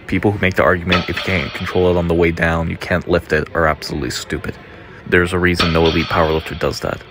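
A man narrating over a gym clip, firm and matter-of-fact. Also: sits low. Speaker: gym goer explaining clip.

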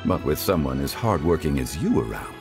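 A man voicing a calm, dignified male scholar in a game, speaking in a measured, formal way. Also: extremely low pitch, gravelly, music in the background, full character voice. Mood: composed.

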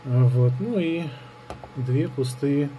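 A man's voice: medium pitch, takes it slowly, highs cut off. Man reviewing a gadget, noisy home recording. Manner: hesitant, improvised, thinking aloud. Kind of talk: casual hands-on product review. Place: indoors at a table in an apartment. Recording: clear background noise on home recording.

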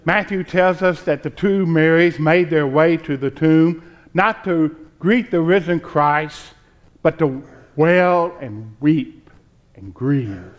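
Slow-paced older male preacher. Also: a little high, muffled recording. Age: 60s. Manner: measured, deliberate phrasing with dramatic pauses. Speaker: pastor. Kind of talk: sermon. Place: church sanctuary, at the pulpit. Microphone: pulpit microphone.

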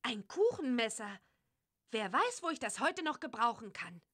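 A cheerful young witch girl from a children's adventure game. Her voice sits a bit high and moves in lively swoops, full of curiosity. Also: moderate pace. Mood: curious, cheerful.

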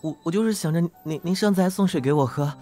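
A man's voice: a little high, quick pace, dark tone, faint music behind, natural voice. A young man playing an earnest, easily flustered male traffic officer in an audio drama, prone to stammering. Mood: nervous, pleading.

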